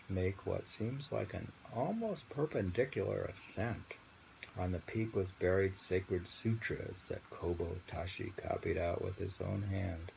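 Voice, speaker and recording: A man reading poetry aloud and commenting informally, in a deliberate, musing, halting way. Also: on the low side, gravelly, a little mellow, a little hiss or hum, low-quality recording.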